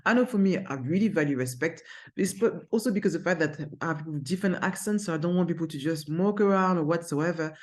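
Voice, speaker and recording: A speaker with a strong French accent, whose first language is French, talks straight to camera from an office. The delivery is energetic and emphatic and moves at a very quick clip, with frequent restarts. The tone is that of a middle-aged business founder handing out advice. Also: medium pitch. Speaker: brand agency founder.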